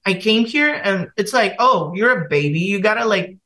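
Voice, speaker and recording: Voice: medium pitch, expressive, moderate pace, pushed and bright. A young singer from Bulgaria with a Bulgarian accent, talking casually as a podcast guest and hesitating with many fillers and restarts.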